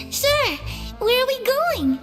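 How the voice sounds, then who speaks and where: A woman voicing a frail, eerie little girl in a video game, wistful, hushed and dreamy. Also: extremely high pitch, exaggerated voice. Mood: innocently pleased.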